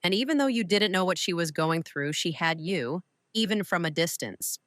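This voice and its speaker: A woman playing a shrewd, composed older widow and mentor in an audio drama, speaking with deliberate authority. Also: lowish voice, lively intonation, fairly bright tone, dry recording. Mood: sombre, firm.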